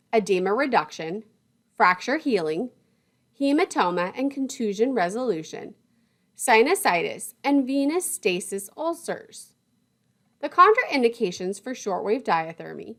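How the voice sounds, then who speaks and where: Higher-pitched woman narrating a lesson, lively intonation. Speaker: lecturer. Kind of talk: scripted instructional narration.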